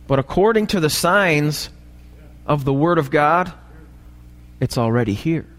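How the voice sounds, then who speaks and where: A male pastor with an American accent and a slightly raspy voice, preaching a sermon and often checking in with short questions to the congregation. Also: a little high, animated, unhurried, a bit bright. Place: church, preaching live. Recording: live church recording, long hall reverb.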